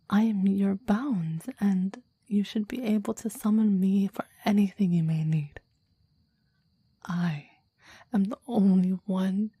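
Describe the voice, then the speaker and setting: Woman playing a clingy devoted demon, ASMR roleplay, very dark mellow tone, breathy whispering, slow, formal, intensely adoring. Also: mid-range voice, no echo. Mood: possessive, intense. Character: possessive, devoted clingy demon bound to a summoner.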